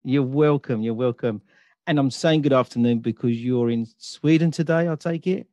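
A man's voice: mid-range voice, normal speed, dry recording. A middle-aged man hosting a podcast, reading an introduction and then chatting casually with a guest. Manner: reads sponsor list, relaxed questions with fillers. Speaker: podcast host.

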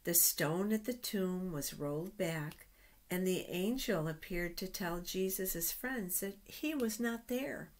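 A middle-aged woman hosting a children's storytime, reading aloud with deliberate, expressive phrasing and pauses. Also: lowish voice. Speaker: children's storytime host at a church. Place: small indoor office.

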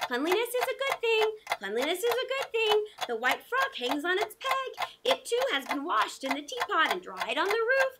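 A woman's voice: extremely high pitch, sing-song, normal speed, few pauses, heavy background noise, heavily acted. A woman voicing a vain, self-admiring storybook narcissus with boastful, sing-song repetition. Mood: vain, excited.

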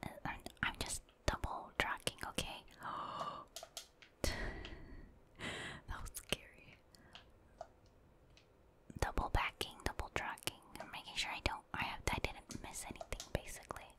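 A woman's voice: a little slow, long pauses. Female ASMR gaming streamer, whispered delivery, musing aloud and talking informally to viewers. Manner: hushed, musing aloud, chatty asides to viewers. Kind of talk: whispered ASMR game commentary.

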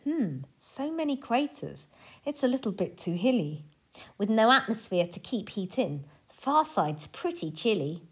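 Woman as a curious little monkey, slightly husky. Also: a little high, very animated, unhurried, heavily acted. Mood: doubtful. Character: young curious monkey who dreams of space.

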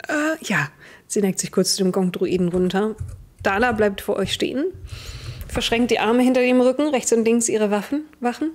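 A woman's voice: a little high, normal speed. A young woman narrating a tabletop role-playing game as its game master, recorded indoors over an online call.

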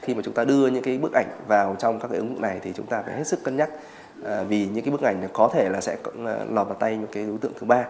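A man in his thirties explaining as an expert in an interview, pausing on filler words. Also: on the low side, vocal fry, a little mellow, slight background noise.

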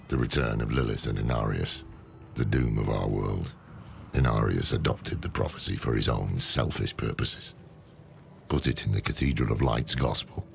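Weary old demon-hunting scholar, wry and grave, extremely low and heavily creaky, game drama. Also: male voice, heavily acted. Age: older. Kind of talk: dramatic video game dialogue and narration.

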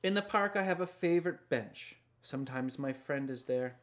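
A man in his thirties reading a picture book aloud in a gentle, unhurried and sometimes hushed way. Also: mid-range voice, expressive. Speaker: children's picture book author and illustrator. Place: small indoor studio lined with books and records.

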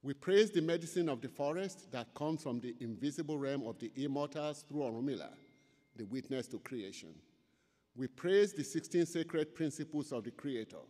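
An older man with a Yoruba accent, a native Yoruba speaker, giving a solemn, measured invocation at a lectern in a large auditorium. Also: mid-range voice, lively intonation, warm tone. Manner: solemn, measured, chanting in places. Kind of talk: ceremonial invocation and prayer. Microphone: lectern microphone. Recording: long hall reverb.

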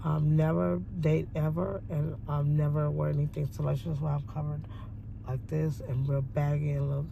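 Gravelly woman rambling in a car. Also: low voice, flat delivery, dark tone, muffled recording, a little hiss or hum. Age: 40s. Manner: meandering, frequent ums and pauses. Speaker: interviewee. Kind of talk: rambling personal monologue. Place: parked car by a window, daytime.

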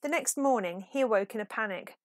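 A woman reading a children's picture book aloud as an expressive, animated storyteller. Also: fairly high pitch, fairly quick, no echo, clean studio sound. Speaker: storybook reader.

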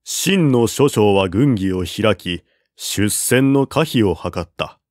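Male narrator, middle pitch, unhurried storybook reading, dry booth sound. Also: lively intonation, darkish tone, no echo. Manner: measured, deliberate reading with raised voice for quoted generals. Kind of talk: scripted reading of a classic war novel.

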